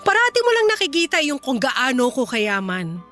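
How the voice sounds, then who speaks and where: Betrayed wealthy lady employer woman actor: very high, bright voice, stern, bitter, reproachful radio-drama delivery. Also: huge pitch swings, normal speed, soft background music, exaggerated voice. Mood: bitter hurt. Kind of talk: radio drama acting in Tagalog.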